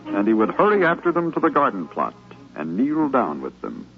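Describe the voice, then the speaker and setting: An actor playing a devoted grandson narrating fond memories in a reverent, dramatic manner for a 1950s radio drama. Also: male voice, medium pitch, wildly swooping pitch, normal speed. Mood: fond, nostalgic. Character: devoted grown grandchild fondly remembering grandfather. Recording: muffled 1950s radio recording, music behind. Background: music.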